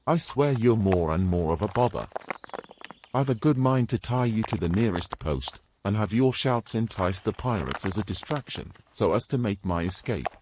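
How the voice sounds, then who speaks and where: A man's voice: fairly low pitch, expressive, moderate pace, noisy background, close to an everyday voice. A man playing a domineering, scornful knight in a fantasy audio drama, speaking in pompous, old-fashioned phrases. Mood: exasperated, haughty.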